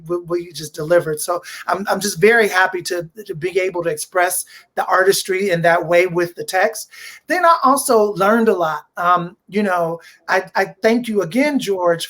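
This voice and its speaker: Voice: medium pitch, a bit bright. A host in their forties chatting conversationally about an arts project, with a mid-range voice. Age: 40s. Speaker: host and director discussing a dramatic reading. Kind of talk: conversational fireside chat.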